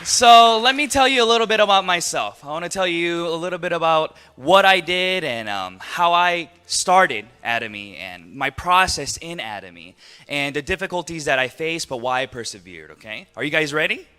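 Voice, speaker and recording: A man's voice: a little high, moderate pace, clear, resonant tone, some chatter behind. A man in his thirties with an American accent giving a motivational business talk, telling stories and putting rhetorical questions to his audience. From Los Angeles, United States. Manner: animated storyteller, rhetorical questions to the audience, acts out dialogue. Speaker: business speaker, network marketing entrepreneur.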